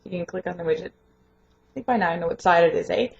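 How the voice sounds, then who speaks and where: A young woman with a lingering hoarse voice, chatting casually and rambling in a home vlog, laughing often. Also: fairly low pitch, quick pace, darkish tone, muffled recording. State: hoarse, voice not fully recovered. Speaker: vlogger and home daycare provider. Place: her home, indoors.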